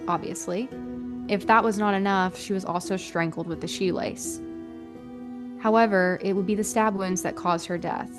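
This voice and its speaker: A woman's voice: medium pitch, steady tone, normal speed, music in the background. A woman hosting a podcast, telling a true-crime story in a conversational, colloquial way. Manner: casual, colloquial phrasing with frequent "like". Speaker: podcast host.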